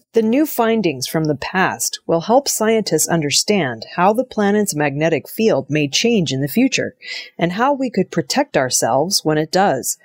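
Female newsreader, lowish register, measured formal scripted narration, dry recording. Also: no echo. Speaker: audio news reader.

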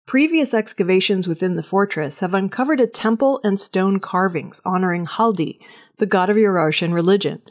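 A woman working as a news reader, delivering scripted audio news narration. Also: medium pitch.

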